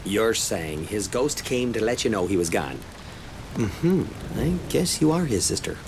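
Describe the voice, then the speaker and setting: A man playing a blunt, sceptical young soldier in a game drama, gruff and matter-of-fact. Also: fairly low pitch, very animated, a little slow, noisy background. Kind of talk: game cutscene acting.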